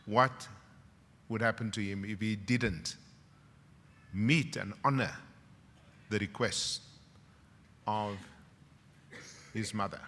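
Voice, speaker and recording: A middle-aged man with a South African English accent giving a graduation speech as a university professor, a dramatic storyteller who swings from loud, wry punchlines to hushed, emotional moments. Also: lowish voice, slow pace, pauses often, slight background noise. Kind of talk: ceremonial speech with personal stories. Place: large graduation hall. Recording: long hall reverb from a ceremony PA.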